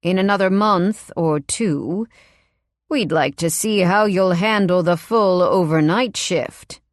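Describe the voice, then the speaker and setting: A woman's voice: lowish voice, slow, no echo. A tall, sinister man, as a woman narrator puts him on, speaks in a vaguely British accent, clipped and icily courteous. Every polite word carries a threat. The audiobook recording is close and dry. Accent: vaguely British, not quite British or American.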